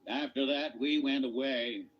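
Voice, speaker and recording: A man's voice: medium pitch, fairly even intonation, measured pace, lo-fi sound, close to an everyday voice. An actor playing a proud, grave old dwarf leader in a radio play, telling his tale with solemn deliberation. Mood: bitter, solemn.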